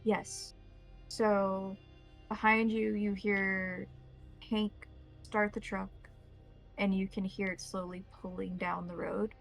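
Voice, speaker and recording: A woman narrating a tabletop roleplaying game as game master, describing scenes in deliberate detail. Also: medium pitch, level tone, vocal fry, faint music behind.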